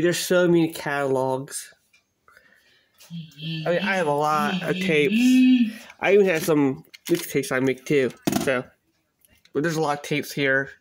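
A man chatting casually in a home video, halting and repeating himself as he shows off a collection. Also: fairly high pitch. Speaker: cassette collector showing a collection. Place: small bedroom, at a closet, indoors. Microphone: handheld phone camera, speaker behind it. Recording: noisy handheld home recording, clicks.

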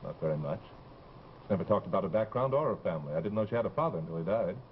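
Urgent, blunt male fiancé, thirties, fast and animated, 1950s TV drama. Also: deep voice. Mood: worried, urgent. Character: insistent, worried young fiancé demanding answers. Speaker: actor. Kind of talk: 1950s live television drama acting. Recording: dull, noisy old TV soundtrack.

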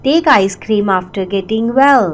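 Slow, repeating Indian teacher woman. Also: medium pitch, lively intonation. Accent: Indian English. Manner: repeats each English sentence slowly twice. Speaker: English teacher. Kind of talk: slow bilingual language lesson.

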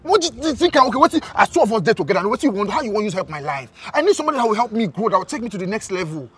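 Evasive young Nigerian man, swinging pitch. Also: sing-song, crowd noise. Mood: uneasy, defensive. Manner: impatient, halting, repeating himself. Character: evasive, embarrassed young man brushing off his girlfriend. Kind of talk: film drama dialogue, switching between English and Nigerian Pidgin.

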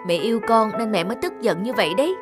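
A woman playing a quick-tempered, anxious young mother in a cartoon. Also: mid-range voice, lively intonation, over music, natural voice. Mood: irritated, scolding. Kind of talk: cartoon dialogue.

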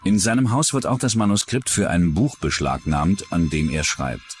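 Man, low voice, scripted true-crime narration, music behind. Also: big pitch swings, moderate pace. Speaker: documentary narrator.

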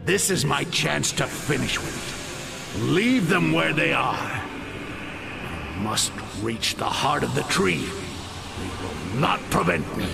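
An actor playing a bitter, tormented old goblin in a video game, rasping and muttering agitatedly in broken phrases. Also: male voice, mid-range voice, big pitch swings, takes it slowly, very noisy, full character voice. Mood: tormented, brooding. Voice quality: croaky, rasping. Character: tormented foul-mouthed old male goblin, obsessive and bitter. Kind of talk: video game character acting.

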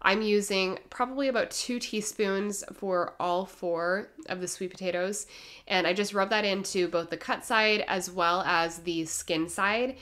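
Young woman, lowish voice, gentle measured delivery, step-by-step cooking voice-over. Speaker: home cooking vlogger. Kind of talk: calm instructional voice-over.

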